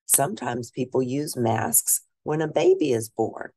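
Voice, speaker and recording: A woman teacher reading a children's picture book aloud at a deliberate pace, pausing to ask her listeners questions. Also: rumbling low, big pitch swings, mellow, a little hiss or hum.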